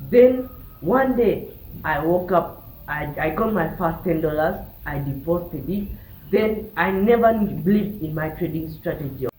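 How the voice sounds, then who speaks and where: A man's voice: a little high, darkish tone. Young South Sudanese man, distant echoey recording. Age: young adult. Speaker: vlogger telling his trading journey. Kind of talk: personal storytelling to camera. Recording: distant mic, roomy echo, background hiss.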